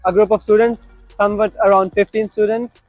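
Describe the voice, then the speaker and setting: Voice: mid-range voice, level tone, brisk, darkish tone, faint music behind. A student working as a teaching assistant, explaining the job plainly with fillers.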